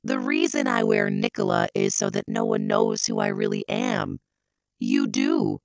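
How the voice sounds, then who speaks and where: A woman acts out a menacing alien huntress for an audio drama. She speaks slowly and gloatingly, her pitch swinging enormously, with a gravelly edge. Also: huge pitch swings, no echo, clean studio sound, faint music behind, full character voice. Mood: menacing, mock regretful. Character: menacing female alien hunter, disguised as a woman. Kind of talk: amateur audio drama acting.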